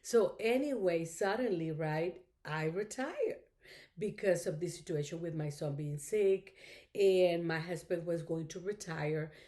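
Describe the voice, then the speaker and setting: A woman's voice: lowish voice, expressive, unhurried, dark tone. A middle-aged woman whose first language is Spanish, chatting casually to her viewers in a rambling, thankful way full of "you know"s. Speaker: craft vlogger. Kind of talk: casual chat to camera.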